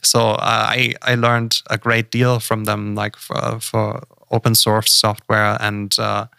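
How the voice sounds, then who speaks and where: Casting: male podcast guest, gravelly yet smooth, bright, dry close sound, halting delivery. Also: lowish voice, monotone, dry recording. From Germany. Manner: halting, many fillers and restarts. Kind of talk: casual podcast chat.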